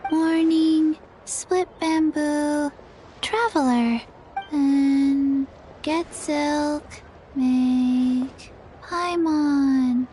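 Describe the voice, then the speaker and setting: A woman voicing a small, forgetful undead girl in an anime-style video game, childlike, halting and deadpan. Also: high-pitched, a little hiss or hum, rough recording. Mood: halting, muddled.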